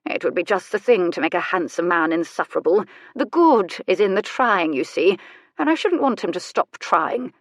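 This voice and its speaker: A woman acts out a bedridden old noblewoman from a storybook. She is haughty and sharp-tongued, teasing with dry, theatrical relish. The sound is close and dry, with a raised, emphatic delivery. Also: fairly low pitch, sing-song, normal speed, no echo. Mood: mischievous, scornful. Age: older. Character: elderly bedridden aristocratic lady, sharp-tongued and mischievous.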